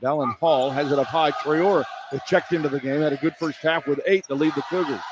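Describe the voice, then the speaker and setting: Man, American accent, radio basketball announcer, higher voice, clipped running phrases, noisy arena broadcast. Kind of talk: live basketball play-by-play commentary. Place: courtside in an indoor arena. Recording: clear background noise, live arena broadcast.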